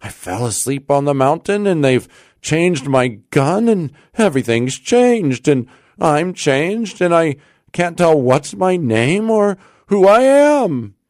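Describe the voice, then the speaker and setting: A bewildered old man out of a storybook, acted out by a male narrator. He cries out in despair and confusion, his voice raised high and swinging widely. The sound is close and dry. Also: sing-song, measured pace, a little mellow, no room sound. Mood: desperate, bewildered. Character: bewildered, distraught gray-bearded old man. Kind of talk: audiobook character voice.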